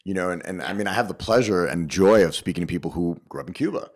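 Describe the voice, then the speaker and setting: Man, extremely low voice, emphatic, casual interview talk, opinionated, rhetorical check-ins. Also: animated, quick pace. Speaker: interviewed business owner and show host.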